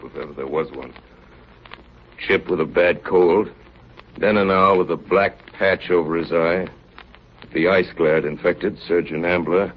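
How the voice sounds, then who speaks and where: An actor playing a steady, grave ship's officer telling of an Arctic voyage in 1930s radio drama style. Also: male voice, deep voice. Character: ship's chief narrating his Arctic diary, steady and grave. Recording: muffled, noisy 1930s radio recording.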